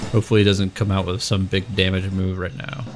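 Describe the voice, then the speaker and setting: Deep-voiced man with a head cold. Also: quick pace. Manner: relaxed, chatty, thinks aloud while playing. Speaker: let's play host. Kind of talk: game commentary and voiceover narration. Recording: faint game music behind.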